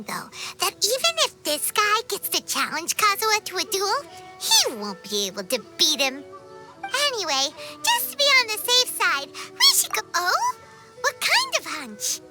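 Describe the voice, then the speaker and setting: A tiny chirpy fairy sidekick for a video game. The voice is extremely high and squeaky, leaping around with exaggerated, childlike excitement. Prominent music plays behind it. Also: female voice, measured pace, heavily acted. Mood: grumbling, wary. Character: tiny chirpy childlike fairy companion, talks in third person. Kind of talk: video game cutscene acting.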